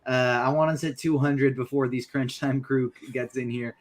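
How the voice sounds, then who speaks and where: A man's voice: medium pitch, steady tone, moderate pace. A young man talking fantasy baseball picks in a laid-back, hedging way. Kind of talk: casual sports betting chat.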